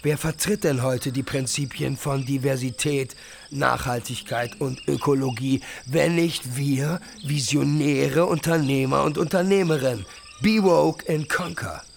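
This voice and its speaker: Cocky, gravelly influencer tycoon, insects chirping. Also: male voice, mid-range voice, unhurried, a little hiss or hum. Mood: smug, preachy. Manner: bragging, hype-laden, flirty, peppered with English buzzwords. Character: cocky woke male influencer mega-entrepreneur, slick, self-promoting. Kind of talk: satirical podcast radio drama.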